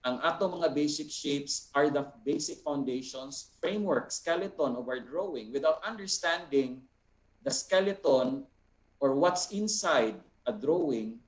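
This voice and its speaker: A man with a Visayan Filipino accent explaining as an art teacher, unscripted and deliberate, going step by step with tag questions, in a roomy, echoing recording. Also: a little high. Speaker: art teacher, guest speaker.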